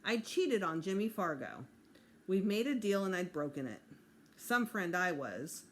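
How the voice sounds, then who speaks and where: A woman teacher reading a children's book aloud in an expressive narrating style. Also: on the low side, measured pace, slight background noise.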